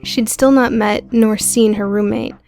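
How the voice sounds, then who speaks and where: A woman's voice: on the high side, fairly even intonation, a little slow, darkish tone, faint music behind. A woman reading scripted fiction as an audiobook narrator.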